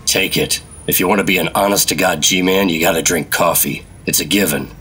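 An actor playing a brusque, wisecracking 1950s secret agent in a radio drama, with music underneath. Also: male voice, sits low, fairly even intonation, brisk, sharp tone, a little hiss or hum. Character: gruff hard-nosed male 1950s secret agent. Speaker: Operative-132.

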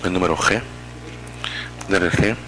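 A man interpreting live at a public meeting, speaking in halting, fragmented phrases and correcting himself as he goes. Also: deep voice, music in the background, low-quality recording. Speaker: simultaneous interpreter.

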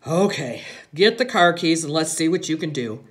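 A narrator acts a naval officer father for a story. The delivery is big and forceful in volume, jovial and authoritative, with animated swings of pitch. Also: normal speed, close to an everyday voice. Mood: cheerful. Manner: big-voiced, hearty, authoritative.

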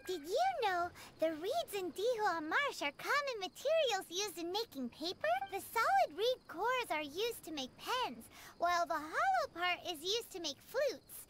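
A woman voicing a tiny, chirpy, greedy female fairy companion in a video game, who talks about herself in the third person. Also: heavy background noise. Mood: chatty. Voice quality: high-pitched, childlike, refers to herself in third person. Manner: bubbly and excitable, with quick swings into pouting. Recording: game audio with loud background music.

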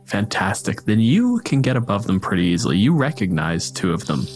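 Low-voiced male game master over music. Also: big pitch swings, a little fast. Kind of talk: narrating a tabletop role-playing game. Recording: music bed under the voice. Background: background music.

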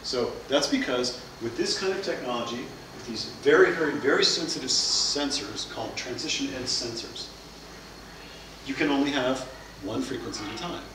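A man's voice: medium pitch, normal speed, far from the mic. A middle-aged man giving a science cafe lecture, explaining ideas by asking questions and answering them. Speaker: physics lecturer. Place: seminar room with projector screen. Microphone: far camera mic. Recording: echoey room recorded from afar, noisy.

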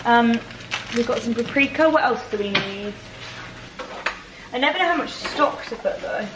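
A woman's voice: a little high, expressive, moderate pace, background noise. A British woman in her twenties chatting casually and playfully as she vlogs her cooking, with bantering slang. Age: 20s. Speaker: vlogger. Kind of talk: casual cooking vlog chat. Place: home kitchen, indoors. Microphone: camera on the counter. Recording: echoey kitchen with clattering dishes.

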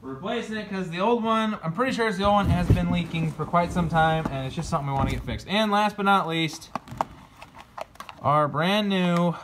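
A man's voice: sits high, brisk, noisy background. A young man talking to viewers in an easygoing way as he opens a box of tractor parts in a farm workshop, filmed on a close phone camera. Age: young adult. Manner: easygoing, talks to viewers while handling parts. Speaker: farm vlogger. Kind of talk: casual unboxing and shop talk. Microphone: phone camera held close.

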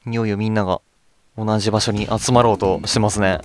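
A man's voice: on the low side, fairly even intonation, fast talker. A man giving casual video game commentary, talking to himself in short reactions as he plays. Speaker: let's play streamer. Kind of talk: casual game commentary, reading dialogue aloud. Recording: game music behind the voice. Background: game music.

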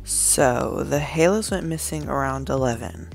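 A woman playing a serious, analytical detective partner in an amateur animated movie. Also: extremely low pitch, measured pace, gravelly, faint music behind, exaggerated voice.

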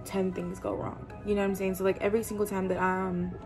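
A woman in her twenties talking candidly into the camera in her bedroom while doing her makeup, cursing casually and filling every pause with "like". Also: mid-range voice, little pitch movement, music in the background. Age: 20s. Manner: chatty, candid, swears often, lots of "like". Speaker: vlogger. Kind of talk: casual get-ready-with-me chat. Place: bedroom, indoors. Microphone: camera-facing, doing makeup at a desk.

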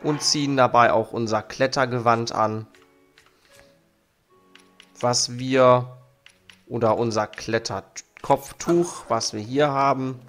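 A young man with a slight cold talks slowly and calmly through his gameplay at a home mic, sometimes reading the game text aloud. His voice sits at a middle pitch, and faint game music plays underneath. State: has a cold. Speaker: let's play host. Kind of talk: casual gameplay commentary. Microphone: studio mic on arm.

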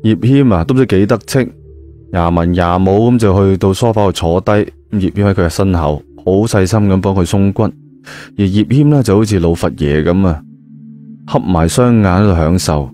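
A man with a Cantonese accent narrating an audio drama in a lively, colloquial storytelling style. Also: low-pitched, normal speed. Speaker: audio drama narrator, also voicing the male lead. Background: background music.

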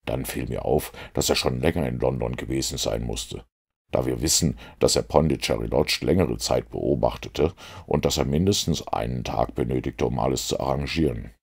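A man's voice: very deep voice, moderate pace, gravelly, breathy edge, heavily acted. A man reading the part of a self-assured, analytical detective in an audiobook, speaking precisely and with command.

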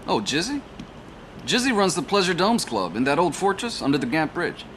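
Lively, courteous crime ally. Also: male voice, fairly high pitch, moderate pace, slight background noise. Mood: friendly. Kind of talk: video game cutscene acting.

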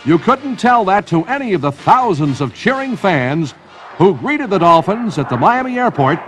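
An American man reading scripted, dramatic narration for a sports highlight film. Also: very animated, moderate pace. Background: music.

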